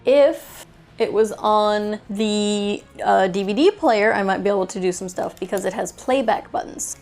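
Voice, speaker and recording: A woman's voice: medium pitch, unhurried, darkish tone. Woman chatting casually over music. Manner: chatty, thinking aloud while handling items. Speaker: toy reviewer and host. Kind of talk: casual unscripted product review vlog.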